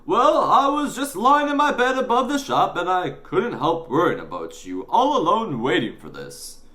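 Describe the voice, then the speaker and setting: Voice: mid-range voice, sing-song, moderate pace, fairly bright tone, voice pushed up. A storyteller voicing an easily frightened, kindly and over-dramatic newsagent man in an audiobook. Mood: sheepish, kindly.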